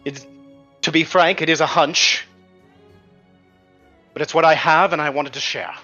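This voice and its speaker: Man playing a cautious, bright-voiced formal informant. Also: on the high side, fairly even intonation, a little slow, highs cut off, faint music behind, pitched above the performer's own voice. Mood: guarded, earnest. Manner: hesitant, wordy, formal phrasing. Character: cautious, formal male informant in a fantasy mystery. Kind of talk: improvised tabletop roleplay.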